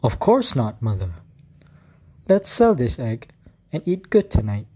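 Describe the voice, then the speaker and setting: A man's voice: mid-range voice, very animated, a little slow, dark tone, husky. A man playing a mischievous but polite young boy in an amateur radio drama. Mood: cheerful.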